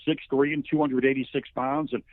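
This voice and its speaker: A man's voice: mid-range voice, flat delivery, fairly quick, no echo. Over a thin, dry phone line comes an American man talking sports in an interview. He sounds like a folksy play-by-play announcer, chatty and fond of telling stories at length. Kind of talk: conversational sports commentary interview.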